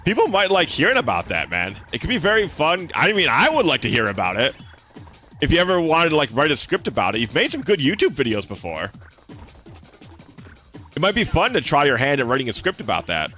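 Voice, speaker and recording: A man's voice: huge pitch swings, fairly quick, bright, forceful tone, soft background music. A young man streaming a game, chatting casually with his viewers; he has a slight lisp and talks in a laid-back, swear-sprinkled way. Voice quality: relatively deep voice. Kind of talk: casual live-stream chat while gaming.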